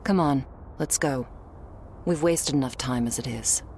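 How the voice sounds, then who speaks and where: Stern female vampire guard, played by an actress: very low register, unhurried, commanding, protective, audio drama. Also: darkish tone, a little hiss or hum. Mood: exasperated, firm. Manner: curt, scolding, commanding. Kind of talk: scripted roleplay audio drama.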